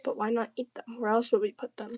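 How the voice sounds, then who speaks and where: A woman's voice: on the high side, flat delivery, fast talker, darkish tone. A woman from the southern United States livestreaming casually, chatting and bantering with viewers in a playful, slangy, jokey way. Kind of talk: casual livestream chat while crafting.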